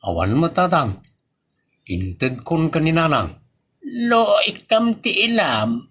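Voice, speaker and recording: A man playing a sensible grown son chiding his father in a comedy audio drama, in a husky voice. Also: fairly high pitch, very animated, takes it slowly, a bit bright, heavily acted. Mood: reproachful.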